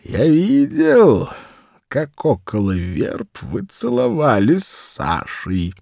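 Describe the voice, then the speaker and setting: A gloating small boy, put on by a male narrator in a children's audio story. He teases in a high, sing-song drawl, slow and smug, relishing someone else's secret. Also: wildly swooping pitch, gravelly, a little mellow, no room sound, clean studio sound, heavily acted. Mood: gloating, teasing. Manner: drawn-out, sing-song taunting. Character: gloating, teasing little boy tattling on his governess.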